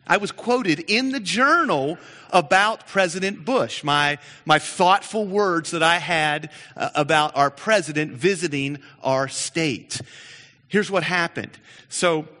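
Man, pastor, sermon, high voice, animated storytelling, church hall reverb. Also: takes it slowly. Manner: animated storytelling, many restarts. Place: church sanctuary.

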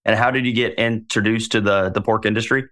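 A man's voice: on the low side, steady tone, brisk, a bit bright. A male podcast host who reads a scripted intro and then chats with his guest in an upbeat way. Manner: upbeat, enthusiastic.

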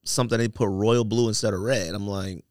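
A man's voice: fairly low pitch, fairly even intonation, fairly quick, darkish tone, no room sound, studio quality. An American man co-hosting a casual podcast, talking in slangy, opinionated banter and repeating himself for emphasis. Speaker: podcast co-host. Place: podcast studio.

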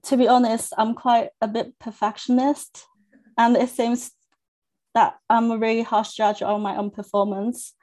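A young woman from China whose first language is Chinese, speaking earnestly as a guest on a podcast interview, with thoughtful pauses and restarts. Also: fairly high pitch, flat delivery, darkish tone. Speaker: academic coach, guest.

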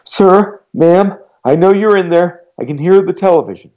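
A man's voice: normal speed, lo-fi sound, natural voice. A man voicing a frightened, pregnant teenage girl who begs to be let in out of a storm. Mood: pleading. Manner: pleading, shaky, high.

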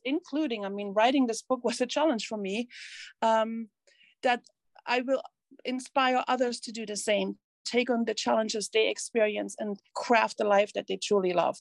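A woman author whose first language is not English, answering interview questions earnestly, with halting restarts. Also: on the high side, gravelly, no room sound. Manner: earnest, halting, repeats words. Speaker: guest author.

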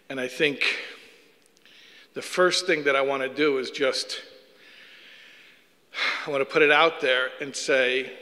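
A man's voice: mid-range voice, pushed and bright, in a large hall. A man from Chicago with a Chicago accent giving a public lecture, earnest and self-deprecating, with joking asides and frequent restarts. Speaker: guest lecturer.

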